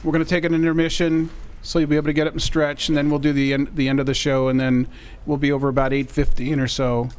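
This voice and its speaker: A man's voice: on the high side, flat delivery, fast talker, few pauses, a little mellow, smooth. A man welcoming an audience at a museum event, speaking informally with occasional fillers. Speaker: event organizer.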